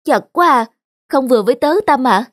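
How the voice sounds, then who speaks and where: A woman voicing an insecure, self-critical young bride-to-be who frets about her weight, in animated cartoon dialogue. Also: high-pitched, fairly quick, no room sound, studio quality. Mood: dismayed. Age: young adult. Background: music.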